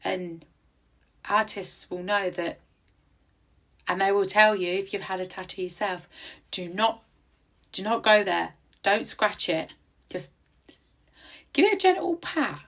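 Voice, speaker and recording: Chatty woman with a croaky voice. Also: medium pitch, lively intonation, a little slow, long pauses, fairly bright tone. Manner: chatty and rambling, with many ums. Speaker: tattoo artist. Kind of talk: casual vlog voice-over. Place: outdoors in quiet countryside.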